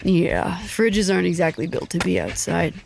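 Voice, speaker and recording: A woman's voice: lowish voice, normal speed, creaky, a little mellow, slight background noise. An actress playing a friendly, matter-of-fact young woman in an audio drama.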